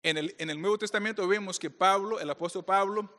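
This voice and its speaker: Middle-aged Brazilian-accented preacher, raised voice, playful, echoing hall. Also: male voice, sits high, brisk, sharp tone. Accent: Brazilian Portuguese. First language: Portuguese. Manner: joking, playful with the audience. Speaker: pastor speaker. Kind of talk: conference talk. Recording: long hall reverb.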